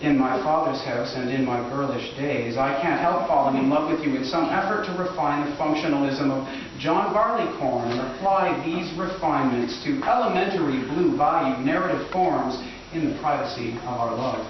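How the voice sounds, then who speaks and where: A man's voice: mid-range voice, moderate pace. A man performing a scripted poetry reading in the style of a mock-academic lecture, declaimed live in a gallery. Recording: echoey room, distant dull mic, clear background noise.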